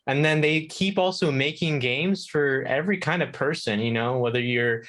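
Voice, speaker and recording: A man's voice: a little high, normal speed, a bit bright. A young man chatting casually as a talk show guest, earnest and a little hesitant. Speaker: guest contributor on video games.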